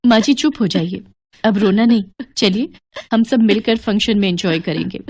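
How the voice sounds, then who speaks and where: A woman's voice: medium pitch, normal speed, a little mellow, dry recording, natural voice. A woman voicing a kind, respectful young daughter-in-law in a family story, in an earnest, soothing manner.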